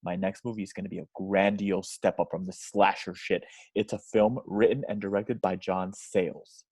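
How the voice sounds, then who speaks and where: Male reader as threatening Hollywood producer, husky and low, horror table read. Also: level tone, moderate pace, a little mellow, no room sound. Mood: bitter threat.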